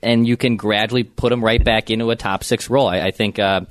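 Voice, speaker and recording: Fast-talking man, stumbling restarts. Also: on the low side, steady tone, dry recording, studio quality. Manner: rapid, repeats words while starting sentences. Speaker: podcast co-host. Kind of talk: casual sports chat.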